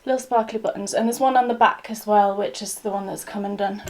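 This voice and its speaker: A woman's voice: mid-range voice, fairly even intonation, fast talker, darkish tone. A woman co-hosting a casual chat show at home, telling stories in a chatty, easygoing way. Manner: chatty, storytelling, laughs easily. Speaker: co-host.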